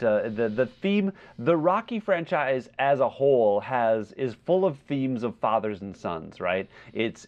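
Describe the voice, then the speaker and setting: Halting man on slightly dull audio. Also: medium pitch. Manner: halting, searching for words. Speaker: podcast co-host. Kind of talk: casual film discussion.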